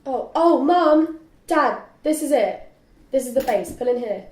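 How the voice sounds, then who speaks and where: Young woman as friendly new student for film read-through, casual, fairly high voice, roomy sound. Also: animated, unhurried, darkish tone. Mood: excited. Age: young adult. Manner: polite, casual, chatty. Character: friendly, excited eighteen-year-old female new student. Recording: echoey room.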